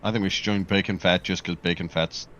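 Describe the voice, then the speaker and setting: A man's voice: low voice, fast, dull audio. A man in his forties chatting and joking with a friend while they play a game together over voice chat. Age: 40s. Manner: joking, lively banter. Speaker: fellow player. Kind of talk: casual chat over voice call while gaming.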